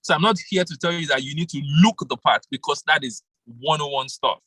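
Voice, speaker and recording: A man coaching contestants in an interactive online workshop, animated, laughing often and calling on participants by name. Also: fairly high pitch, brisk, breathy edge, no room sound. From Nigeria. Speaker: public speaking coach. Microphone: video call.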